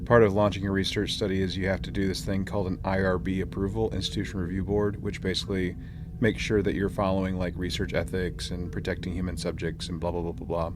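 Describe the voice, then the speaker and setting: A man with a fairly low voice hosts a casual podcast chat. He talks in a jokey, slangy, informal way, over clearly audible background noise. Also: flat delivery, fast. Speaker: podcast host. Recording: clearly noisy room ambience.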